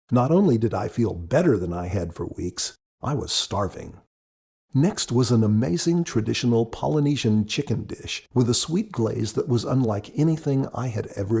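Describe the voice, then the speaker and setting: Guy reading a first person audiobook, mellow and gravelly, measured storytelling. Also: mid-range voice. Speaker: author narrating his audiobook. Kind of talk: scripted first-person narration.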